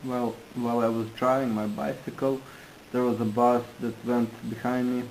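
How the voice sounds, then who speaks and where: A man interpreting into English, halting and restarting in phrasing. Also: medium pitch, flat delivery, darkish tone, slight background noise, poor audio. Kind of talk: consecutive interpreting.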